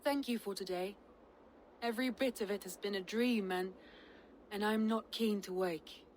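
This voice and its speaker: An actress playing a stoic Norse noblewoman with an adventurous heart, speaking earnestly in measured, formal phrases for a video game. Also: medium pitch, a little mellow, slight background noise, lightly acted. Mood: tender. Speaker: game character. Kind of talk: dramatic game cutscene acting.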